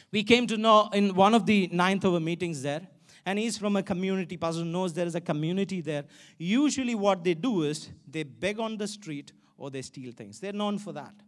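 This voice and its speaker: A male pastor with an Indian accent delivers a sermon in a strikingly high-pitched voice. He is emphatic and exhorting, and he repeats key phrases to press them home. He speaks into a handheld microphone. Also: fairly bright tone. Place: large stage in an indoor auditorium.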